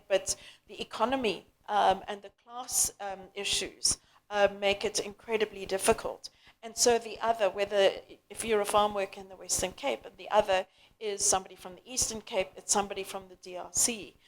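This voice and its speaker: Woman panelist from South Africa, mid-pitched voice, earnest and measured, some ums and restarts. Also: slight background noise, low-quality recording. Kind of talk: panel discussion.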